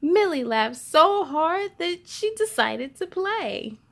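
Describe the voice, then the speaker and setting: A woman hosting a children's storytime, reading a picture book aloud in an excited, expressive, giggly way. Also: very high-pitched, takes it slowly.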